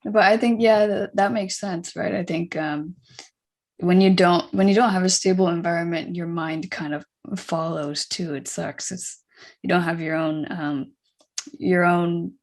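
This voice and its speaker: A woman's voice: on the low side, steady tone, a little slow. A woman hosting a podcast on a video call, chatting casually and encouragingly with a guest. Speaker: podcast host. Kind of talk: casual interview chat.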